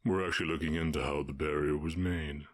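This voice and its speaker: A man voicing a calm, commanding orc warchief in an ASMR roleplay, with a husky voice and a measured, condescending, teasing manner. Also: no room sound, studio quality. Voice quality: very deep, husky.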